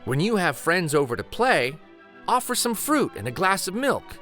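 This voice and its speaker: Guy doing kids storytime narration, bright clear-cut voice, really swoopy pitch, takes his time. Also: faint music behind. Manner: deliberate, animated, emphatic phrasing. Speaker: host reading children's picture books aloud. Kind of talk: expressive storytime read-aloud narration.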